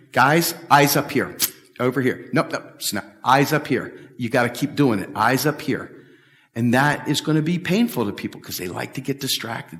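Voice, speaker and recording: A middle-aged man giving an animated, emphatic talk at a policy event, repeating his points and gesturing as he answers questions. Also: mid-range voice. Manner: emphatic, repetitive, talks with big hand gestures. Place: large bright hall with windows, standing before a stage table. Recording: echoey hall from far off.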